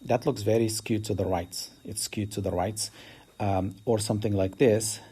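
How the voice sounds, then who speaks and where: A man's voice: steady tone, normal speed, dark tone, breathy edge. A man teaching a statistics lesson, explaining step by step and lifting his voice to stress key points. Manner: explanatory, rises in pitch to stress key words. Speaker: math teacher. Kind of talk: recorded statistics lecture.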